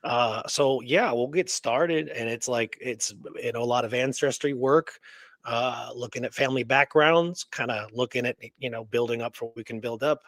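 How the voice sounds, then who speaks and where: A man's voice: medium pitch, normal speed, a bit bright, no echo. A man in his forties co-hosting a show, chatting casually in a colloquial, rambling way. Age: 40s. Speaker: podcast co-host. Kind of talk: casual chat.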